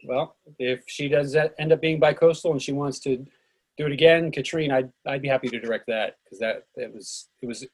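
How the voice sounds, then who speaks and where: A man speaking as an actor and host in a casual discussion, halting and digressive. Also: medium pitch. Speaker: actor and director hosting a play reading.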